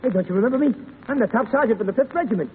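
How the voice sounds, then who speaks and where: Brash, high-voiced fast-talking sergeant. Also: male voice, expressive. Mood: surprised, jovial. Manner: slangy, breezy, tough-guy talk. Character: brash, chatty Foreign Legion top sergeant. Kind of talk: 1930s radio drama acting. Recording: reverberant, tinny, noisy 1930s radio recording.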